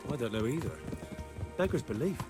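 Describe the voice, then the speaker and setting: A man's voice: mid-range voice, animated, fairly quick, over loud music, close to an everyday voice. A man playing a loyal, wry, plain-spoken young man of humble upbringing in a medieval video game. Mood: disenchanted. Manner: wry, down-to-earth.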